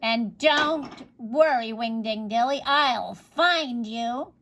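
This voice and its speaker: A woman voicing an angry little witch who chants spells in a shrill, spiteful way. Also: takes it slowly, fairly bright tone, a little hiss or hum. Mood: vengeful.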